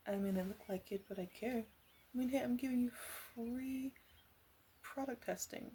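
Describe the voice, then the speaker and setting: A woman playing a caring, teasing witch in a soft ASMR roleplay voice that slips into whispers. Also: a little high, a little hiss or hum, voice pushed up, natural voice. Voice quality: soft, breathy, slipping into whisper. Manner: gentle, unhurried, playfully teasing. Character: female witch, flirty, caring, teasing potion seller.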